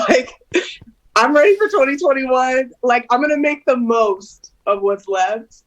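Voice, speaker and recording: A woman's voice: sits high, animated, a little slow, muffled recording. A woman in her twenties, a singer and interview guest, talking casually on a video call, hesitant and giggly with many fillers. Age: 20s.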